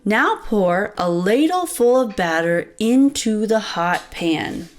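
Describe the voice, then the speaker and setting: A woman's voice: mid-range voice, animated, slow pace. A woman voicing a patient farm mother giving step-by-step instructions in a children's storybook. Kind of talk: children's storybook read-aloud.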